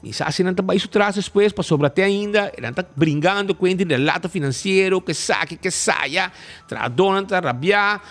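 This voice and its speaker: A man hosting a live show, announcing prizes and talking through a local dispute with animated, stumbling restarts. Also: sits high, brisk. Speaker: show host. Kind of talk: casual live show chat and commentary.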